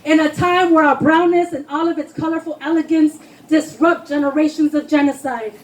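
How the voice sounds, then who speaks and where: High-voiced woman performing impassioned spoken word. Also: steady tone, normal speed. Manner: impassioned, declamatory, emphatic. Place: live poetry reading before a responsive audience.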